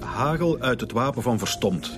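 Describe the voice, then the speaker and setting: A commanding, impatient Flemish police commissioner in a radio drama, acted by a man. He speaks like someone used to giving orders. Music runs clearly behind his voice. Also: mid-range voice, lively intonation, moderate pace, natural voice. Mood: stern.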